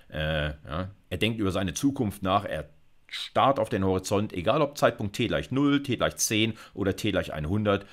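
A man, explaining like a university lecturer in an informal, conversational way, often checking in with short tags. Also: low-pitched. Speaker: university computer science lecturer.

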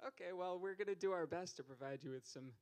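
Young guy hosting a poetry open mic, jokey banter, bit high voiced, handheld mic on stage. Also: quick pace. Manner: joking, teasing, rising to loud calls to the stage. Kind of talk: stand-up style hosting banter. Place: small poetry venue stage with audience.

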